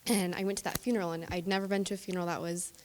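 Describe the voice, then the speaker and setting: A woman's voice: fairly low pitch, level tone, very fast, darkish tone, long reverb tail, slight background noise. A young woman sharing an earnest personal reflection in a group discussion. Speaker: discussion participant.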